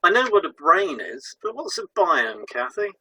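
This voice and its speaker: A man's voice: fairly high pitch, sing-song, normal speed, bright, forceful tone, husky, poor audio. A man co-hosting an educational podcast, explaining from a script. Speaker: podcast co-host and hypnotherapist.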